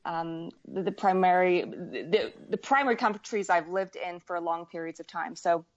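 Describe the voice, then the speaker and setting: A woman's voice: lowish voice, moderate pace, lo-fi sound. A woman in her forties from Wales and western Canada, chatting casually as an interview guest with hesitant, stop-start phrasing. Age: 40s. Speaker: needlework artist, interview guest. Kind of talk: casual chat.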